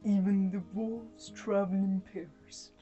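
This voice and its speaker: A storyteller voicing a quiet, lonely young male hunter in a slow, wistful, subdued way for a folktale. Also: mid-range voice, steady tone, husky, lo-fi sound, faint music behind.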